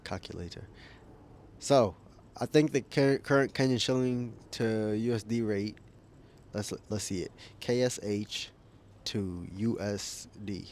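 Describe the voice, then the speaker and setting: A man's voice: on the low side, unhurried, darkish tone, a little hiss or hum. A man making a casual opinion vlog as a YouTuber, rambling, thinking aloud and correcting himself, with frequent chuckles. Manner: chuckles often while talking.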